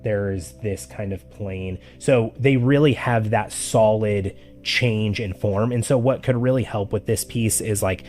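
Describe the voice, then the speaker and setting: Low-voiced guy, kinda raspy now, rambling through art feedback with lots of "like"s. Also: measured pace, few pauses, a little mellow. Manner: loose and chatty, with many "like" fillers. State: voice getting raspy. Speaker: art streamer giving feedback. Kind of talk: casual live-stream critique. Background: faint background music.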